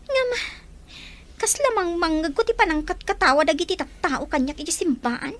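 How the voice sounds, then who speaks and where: A woman acting a gentle, hurt young village woman in an audio drama, with an Ilocano accent. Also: very high-pitched, lively intonation, normal speed.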